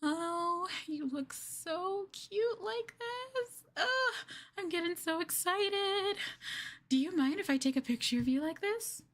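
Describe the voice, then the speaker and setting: A woman voicing a sweetly possessive, obsessive young girlfriend in an ASMR roleplay, coaxing and cooing between soft speech and whispers. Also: very high-pitched, animated, takes it slowly, voice pushed up, full character voice. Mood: giddy, adoring. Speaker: ENVtuber streamer performing ASMR roleplay.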